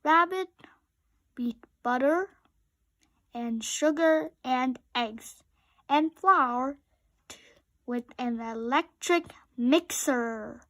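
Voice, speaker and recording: A woman's voice: high voice, extremely slow, pauses often, fairly bright tone, no echo. A woman reading a children's storybook aloud, chatting to young listeners between passages. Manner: asks young listeners questions. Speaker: storybook reader.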